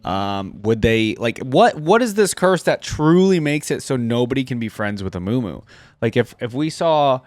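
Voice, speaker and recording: A man's voice: mid-range voice, sing-song, normal speed, a little mellow, no room sound. A man doing casual reaction commentary as a video host, musing and thinking aloud in an informal way.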